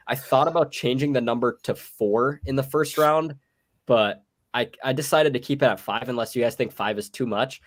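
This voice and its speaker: A young man hosting a casual podcast chat, sounding sick and worn, steering the talk in an easygoing way. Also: medium pitch. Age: young adult. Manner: easygoing, runs the segment. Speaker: podcast host.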